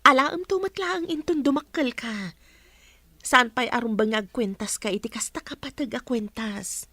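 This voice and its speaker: A woman's voice: a little high, moderate pace, bright, forceful tone, no room sound. A woman playing a protective, cautious mother talking to her child in a radio drama. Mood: firm, cautious. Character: protective mother, firm, cautious and gently yielding.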